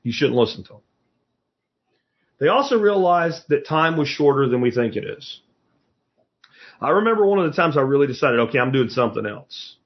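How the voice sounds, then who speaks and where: A man's voice: medium pitch, big pitch swings, a little fast, pauses often, dull audio. A man in his fifties giving a blunt, profane motivational talk as a podcast host, lecturing his listeners. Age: 50s.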